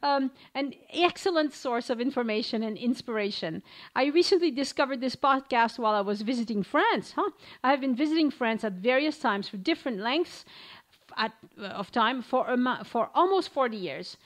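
A woman's voice: on the high side, lively intonation, normal speed. A woman hosting a travel podcast, chatting casually, laughing often and stumbling over listener names as she reads reviews aloud. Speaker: podcast host.

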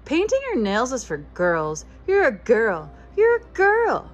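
A mean young schoolboy bully from a storybook, acted out by a woman reader. The taunts are loud and sing-song, swooping up high. Faint music plays along. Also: wildly swooping pitch, a little slow, dull audio, heavily acted. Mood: taunting.

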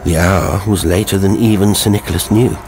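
A man's voice: low voice, fairly even intonation, fairly quick. A man narrating a horror story in a dramatic, scripted audiobook style.

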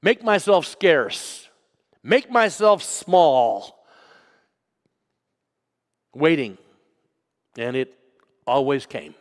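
Storytelling pastor in a reverberant church. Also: male voice, fairly high pitch, animated, extremely slow, long pauses. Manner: storytelling, swings from loud to hushed. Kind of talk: sermon. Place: church sanctuary.

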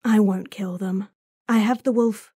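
A woman's voice: mid-range voice, steady tone, a little slow, mellow, husky, dry recording, studio quality. A woman playing an idealistic, stubborn young werewolf in an audio drama, earnest and defiant but restrained. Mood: wary. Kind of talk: audio roleplay drama acting.

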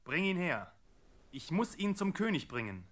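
A man's voice: high-pitched, big pitch swings, normal speed, fairly bright tone, exaggerated voice. An actor playing a brusque, impatient king's servant giving orders in a biblical radio play. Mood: commanding. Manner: commanding, impatient. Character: brusque, imperious male servant of a tyrant king. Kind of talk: German radio play acting.

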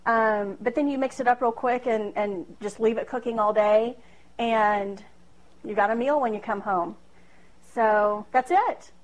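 A woman's voice: on the high side, measured pace, poor audio. Chatty woman cooking at home. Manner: chatty and self-deprecating, with frequent fillers and laughs. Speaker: home cooking video host. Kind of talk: casual cooking demonstration. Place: small home kitchen. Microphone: camera across the room.

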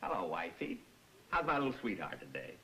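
A doting middle-aged American husband in vintage TV comedy. He is playful, with a fairly low voice that leaps around hugely in pitch. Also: wildly swooping pitch, a little fast, sharp tone, a little hiss or hum, full character voice. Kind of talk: 1950s television comedy acting.

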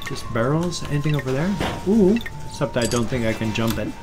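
An older man in his sixties hosts a casual let's play, chatting and muttering along as he goes. His voice sits at an ordinary middle pitch, recorded close at home, with loud game music behind him. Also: animated, brisk. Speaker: gaming channel host. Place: small room at home. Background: loud video game music.

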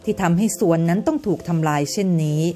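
A woman, a mother and storyteller, narrating a bedtime story for children in a gentle manner. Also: lowish voice, sing-song, quick pace, faint music behind. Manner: gentle, sing-song with children.

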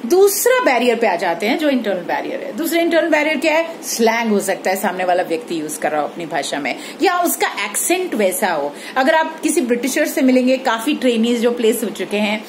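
A woman's voice: a little high, big pitch swings, brisk, few pauses. A woman teacher talks straight into her phone in a room at home. She explains each point patiently and often stops to ask if the listener is following. A faint hiss sits under the voice. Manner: explanatory, mixes Hindi with English phrases, frequent "ठीक है?" checks. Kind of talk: recorded lecture. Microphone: phone held at arm's length.

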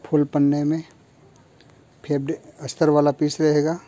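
Young male seller from India, monotone delivery, mellow, slightly high voice, phone recording in a shop. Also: normal speed. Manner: flat, matter-of-fact listing of features. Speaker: kurti factory seller. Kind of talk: product showcase walkthrough. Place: clothing store with fabric shelves.

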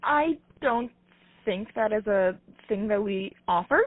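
A woman's voice: mid-range voice, expressive, a little slow, no room sound. A woman handling a customer service call, polite, careful and hesitant, over a phone line. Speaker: puppet theater phone receptionist.

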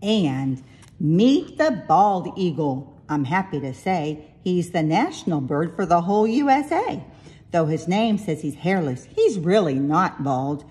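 A woman reading a rhyming picture book aloud with expressive, deliberate delivery. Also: fairly low pitch. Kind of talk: storybook read-aloud in rhyming verse.

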